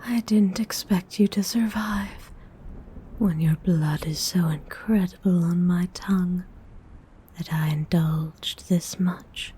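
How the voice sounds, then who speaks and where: Actress as female vampire, ASMR roleplay: breathy, mellow whisper, seductive and condescending, unhurried, some background noise. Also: on the low side, fairly even intonation. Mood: haughty, indulgent. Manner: slow, haughty, teasing, formal wording. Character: seductive, haughty female vampire feeding on a willing victim.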